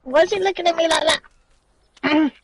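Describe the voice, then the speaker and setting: A woman in her forties, hoarse and losing her voice, chatting playfully and teasing while gaming on a stream. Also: extremely high pitch, normal speed, no echo. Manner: playful, teasing, coos at animals. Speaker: streaming co-player. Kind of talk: casual chat while gaming.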